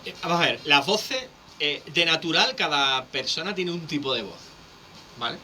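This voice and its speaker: A man, a public speaking coach explaining things in a lively, teacherly way as a guest on a radio interview. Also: on the high side, normal speed, a little hiss or hum.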